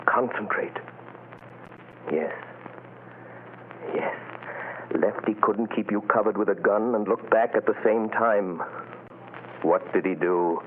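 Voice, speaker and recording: Low, slow, commanding mind reader. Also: male voice. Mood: focused, urgent. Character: commanding mysterious male mind reader, intensely focused. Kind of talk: 1940s radio drama acting. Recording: muffled, hissy old radio broadcast.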